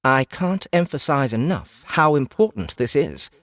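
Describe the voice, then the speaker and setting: A man's voice: medium pitch, big pitch swings, fairly bright tone, dry recording, studio quality, pitched above the performer's own voice. A man voicing a composed, formal female guide from another world in a fantasy audiobook, patient and faintly judgmental. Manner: measured, formal, explanatory.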